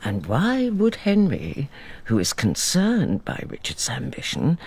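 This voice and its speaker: A woman's voice: low-pitched, huge pitch swings, slow pace, darkish tone, husky, heavily acted. An older woman playing a wry, proud, imprisoned queen, narrating a radio drama with theatrical deliberation.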